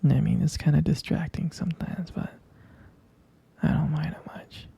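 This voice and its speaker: A man playing a tender, devoted boyfriend in an intimate ASMR roleplay, speaking in a breathy, husky whisper and lingering dotingly over his words. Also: on the high side, steady tone, normal speed, warm tone, full character voice. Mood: adoring.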